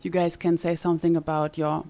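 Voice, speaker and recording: Low-voiced woman hosting an interview. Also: little pitch movement, a little fast, warm tone. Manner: hesitant, frequent fillers. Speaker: podcast host. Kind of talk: conversational interview. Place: conference summit venue.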